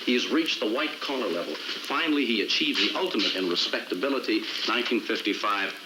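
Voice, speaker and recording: An actor playing an indignant, driven city prosecutor in an American crime drama, with a wry, wordy, sarcastic manner. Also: male voice, fairly low pitch, lively intonation, fast talker, noisy background, natural voice. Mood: sardonic.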